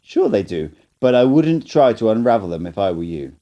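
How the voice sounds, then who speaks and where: A man's voice: fairly low pitch, very animated, moderate pace, warm tone, highs cut off. A man reading an audiobook, voicing a blunt, scolding old male sorcerer teacher. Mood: instructive. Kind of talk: audiobook character voice.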